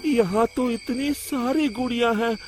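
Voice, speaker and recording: A man's voice: very high-pitched, unhurried, pitched above the performer's own voice. A man voicing an anxious, loyal, simple servant in a cartoon moral story, eager and earnest.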